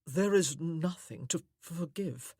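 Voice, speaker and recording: A woman reader plays a courteous, guilt-laden gentleman lord in a period romance audiobook. The voice is low and husky, with formal, restrained phrasing. The recording is dry and close. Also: slow pace, no room sound, heavily acted. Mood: guarded politeness.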